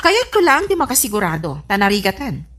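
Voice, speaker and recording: A woman's voice: medium pitch, wildly swooping pitch, a little fast, a bit bright, breathy edge, heavily acted. A woman playing a strict, suspicious wife and household boss in a comedy radio drama. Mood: stern. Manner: stern, questioning.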